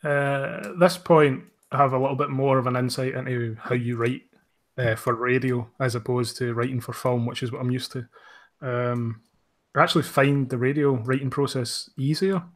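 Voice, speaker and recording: A man's voice: mid-range voice, fairly even intonation, normal speed. A man talking casually as a writer-producer guest in an interview, understated and a little hesitant.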